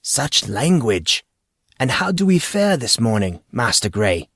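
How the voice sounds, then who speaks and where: A man voicing a courteous, patient male attendant with formal, measured phrasing and gentle teasing, in an audiobook. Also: medium pitch, very animated, slightly rough, no echo, studio quality, heavily acted.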